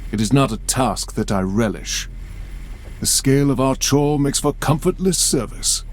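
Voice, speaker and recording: A man's voice: mid-range voice, expressive, normal speed, a little hiss or hum, natural voice. An actor playing a weary, bleak space marine warrior-priest in a video game, formal, somber and bitter in his delivery. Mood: bleak resignation. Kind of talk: dramatic video game cutscene acting.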